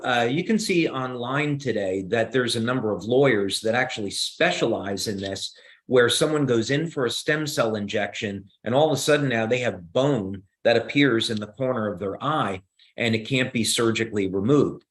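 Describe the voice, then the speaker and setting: A man with a New Jersey accent explaining science on a video call, measured and steady, with frequent "uh" fillers. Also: medium pitch.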